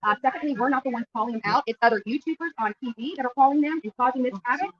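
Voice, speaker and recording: A woman's voice: sits high, rapid-fire, far from the mic, highs cut off. A woman livestreamer and activist giving an impromptu press interview, speaking emphatically and indignantly and repeating phrases for force. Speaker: YouTube livestreamer and missing-person activist being interviewed. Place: at a county jail, press interview.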